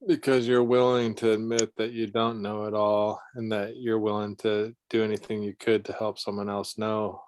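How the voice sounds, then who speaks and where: Guy chatting calmly, bit low-pitched, plain easygoing talk. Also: moderate pace, hardly any pauses, clear, resonant tone. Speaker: Bible study group member. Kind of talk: casual online group chat.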